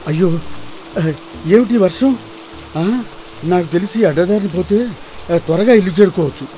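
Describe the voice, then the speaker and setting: High-voiced kindly village farmer played by a man. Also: moderate pace, warm tone, a little hiss or hum, lightly acted. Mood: worried, flustered. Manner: exclamatory, talking aloud to himself. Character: kindly, anxious village farmer, father of three daughters. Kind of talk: dramatised animated folktale dialogue.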